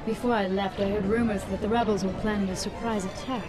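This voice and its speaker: A female actor as a bold space smuggler in a radio play. She is tough and commanding in a mid-pitched voice, with loud orchestral music and a busy crowd behind her. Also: fairly even intonation, fast, lightly acted. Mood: watchful, intrigued. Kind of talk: space opera audio drama acting.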